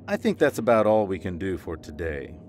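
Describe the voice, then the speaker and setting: Polite awkward principal, very animated pitch, by the book, noisy radio play audio. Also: male voice, moderate pace. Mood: earnest, formal. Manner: polite, procedural. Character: earnest, officious male school principal, reassuring but awkward. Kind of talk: audio drama acting.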